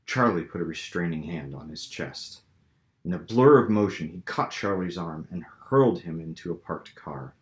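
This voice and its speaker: A man's voice: sits low, animated, normal speed. A man reading his own novel aloud as author, in a dramatic storytelling style. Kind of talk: dramatic audiobook-style narration. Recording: roomy, echoing room sound.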